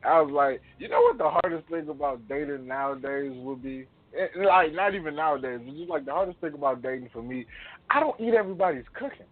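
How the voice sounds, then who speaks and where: A man with an American accent chatting casually in slang, telling anecdotes in an animated way. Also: on the high side, fairly quick. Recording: thin phone-like audio.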